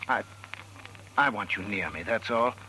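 Sharp-voiced, pleading older husband. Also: male voice, on the low side, a little slow. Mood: desperate, devoted. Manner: pleading, insistent. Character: earnest, clinging, lovesick older rich husband. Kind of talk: old-time radio drama acting. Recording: noisy vintage radio recording.

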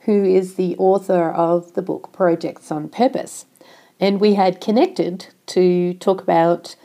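A middle-aged woman from Australia hosting a solo podcast, sounding nasal from a cold, talking in a measured, explanatory way. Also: fairly low pitch, gravelly, dark tone. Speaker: podcast host.

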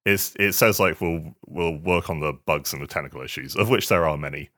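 A man's voice: rumbling low, brisk, sharp tone, no echo, clean studio sound. A man talking casually on a podcast, sometimes reading a statement aloud. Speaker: podcast panellist.

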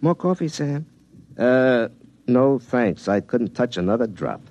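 Casting: eager small-town tinkerer, wry and folksy, very lively intonation, classic radio drama with music behind. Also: male voice, mid-range voice, unhurried, lo-fi sound. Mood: wry, musing. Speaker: narrator and lead actor. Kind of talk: classic radio drama acting with first-person narration.

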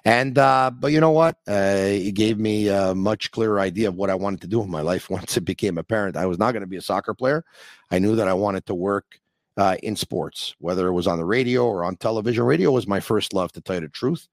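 A man hosting a sports call-in podcast, chatting in a rambling, animated way. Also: lowish voice, fairly quick, dry recording, clean studio sound. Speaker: podcast host. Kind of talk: casual call-in show chat.

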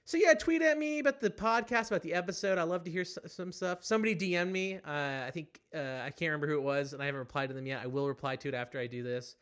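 A man's voice: fairly high pitch, fast talker, hardly any pauses, a bit bright. Young guy hosting a podcast, wanders around a lot, self-deprecating, lots of uhs. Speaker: podcast host. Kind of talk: casual podcast chat.

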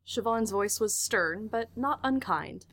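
A woman's voice: on the high side, lively intonation, measured pace, a bit bright. A woman narrating a scripted audio drama in a storytelling style.

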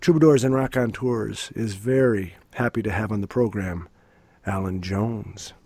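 A man hosting a music interview podcast, sounding stuffy from a cold and reading his guest's background in a measured, formal way. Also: on the low side, mellow, slightly rough, no room sound. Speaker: podcast host.

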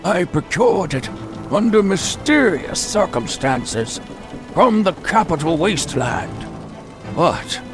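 Radio drama villain: ancient sinister sorcerer, very high voice, drawn-out incantatory delivery. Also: male voice, exaggerated voice. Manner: slow, grandiose, incantatory delivery. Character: sinister old male sorcerer leading a dark ritual. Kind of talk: old-time radio drama acting.

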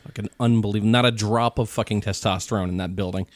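A man's voice: on the low side, steady tone, fast, no room sound. Laid-back swearing podcast host man. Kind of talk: casual chat.

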